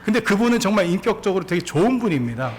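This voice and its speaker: A man's voice: sits high, big pitch swings, very fast, a little hiss or hum. A man in his forties preaching a sermon as pastor, telling a story and laughing along with it, with many questions put to the congregation. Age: 40s.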